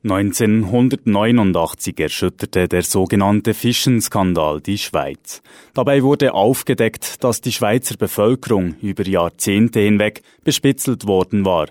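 Measured radio newsman. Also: on the low side, a little mellow, no room sound, clean studio sound. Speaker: radio news presenter. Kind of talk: scripted radio news reading.

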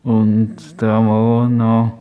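Very slow, flat man. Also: fairly low pitch, clear, resonant tone. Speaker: host. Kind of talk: show introduction. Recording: tinny phone-like sound.

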